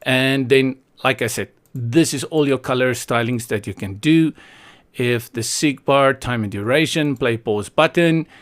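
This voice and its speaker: A man from South Africa presents a casual software tutorial in an opinionated, playful way, thinking aloud as he goes. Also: medium pitch, measured pace.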